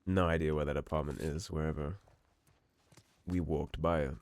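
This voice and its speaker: A man giving relaxed, musing commentary over a game playthrough, his voice slightly hoarse. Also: rumbling low, moderate pace. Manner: relaxed, musing, reads in-game notes aloud. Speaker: game streamer. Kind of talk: let's play commentary.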